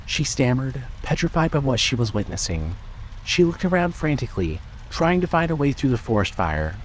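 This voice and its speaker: A man's voice: mid-range voice, big pitch swings, moderate pace, low-quality recording. A man narrating a scripted horror story in a dramatic style. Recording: loud ambient noise behind voice.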